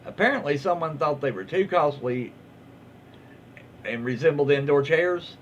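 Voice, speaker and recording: A man's voice: on the high side, expressive, moderate pace, a bit bright. A man with a Southern American accent casually reading online stories aloud, folksy and informal, stumbling over words and adding off-the-cuff commentary. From southern United States. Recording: muffled lo-fi audio, faint background noise.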